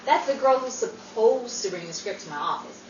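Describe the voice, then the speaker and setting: A woman playing an excitable, exasperated and dryly sarcastic best friend in a 1940s-style radio comedy. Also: fairly high pitch, normal speed, recorded from a distance, highs cut off, close to an everyday voice. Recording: noisy, echoey room, off the mic.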